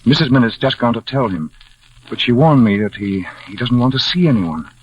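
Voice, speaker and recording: An actor playing a gentle older blind former pilot, measured and reflective, in a 1940s radio drama. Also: male voice, mid-range voice, expressive, breathy edge. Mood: courteous, musing. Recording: muffled old 1940s radio recording.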